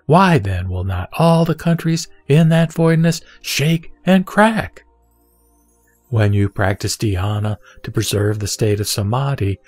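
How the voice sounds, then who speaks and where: A man reading the words of the Buddha as a calm, wise teacher, in a measured and solemn scripted recitation. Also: medium pitch, dry recording.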